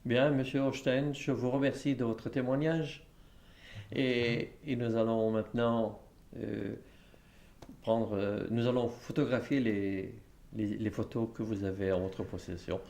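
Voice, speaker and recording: A man's voice: mid-range voice, steady tone, a little slow, gravelly, a little mellow, roomy sound. A man from Paris conducting a formal interview, asking polite questions and often rephrasing them as he goes. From Paris, France.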